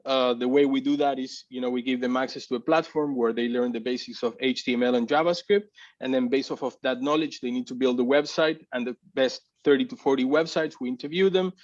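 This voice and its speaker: A man's voice: mid-range voice, a little fast. Hesitant man with dull audio. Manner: hesitant, many fillers and restarts. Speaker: community organizer presenting. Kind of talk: casual presentation at a tech meetup.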